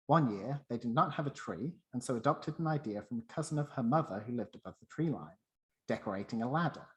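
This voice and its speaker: A man's voice: mid-range voice, expressive, a little fast, low-quality recording. A man presenting a scripted talk and narrating a story.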